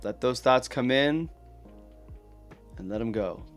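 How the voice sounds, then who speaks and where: A man with a mid-pitched voice leads a guided meditation. He gives gentle instructions in plain, encouraging words and leaves unhurried pauses between phrases. Soft guitar music plays faintly behind him. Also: lively intonation.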